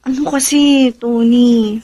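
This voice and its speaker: A woman's voice: on the high side, fairly even intonation, takes it slowly, warm tone. A woman playing a timid, sweet young wife in a Filipino radio drama, speaking hesitantly and deferentially. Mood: hesitant, coy.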